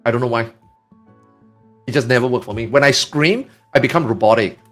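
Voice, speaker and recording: A man chatting as a guest on a gaming podcast, talking loud and rambling with many restarts. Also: medium pitch, a little fast, soft background music. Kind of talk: casual podcast chat.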